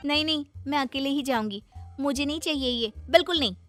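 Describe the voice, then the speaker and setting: A put-upon young daughter-in-law from a cartoon family drama, acted by a woman. Her very high voice complains plaintively, weary yet defiant. Soft music sits behind it. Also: a little fast.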